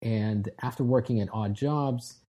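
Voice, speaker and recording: A man in his thirties from Israel, a guest telling his story in a relaxed, casual way with frequent restarts. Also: medium pitch, a little slow. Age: 30s. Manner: relaxed, frequent restarts and fillers, self-deprecating. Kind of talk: casual interview talk.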